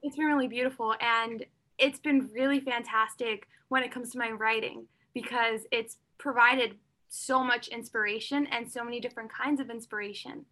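A woman's voice: on the high side, fairly even intonation, normal speed, sharp tone. A young woman singer-songwriter answering interview questions, earnest and open, often saying "like" and "you know". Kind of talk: interview conversation.

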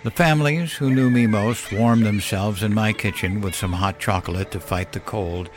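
A man narrating a scripted first-person horror story as a weary, wistful old man, in a measured, solemn and dramatic way. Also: fairly low pitch. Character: weary, wistful elderly man telling his ghostly tale. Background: music under the voice.

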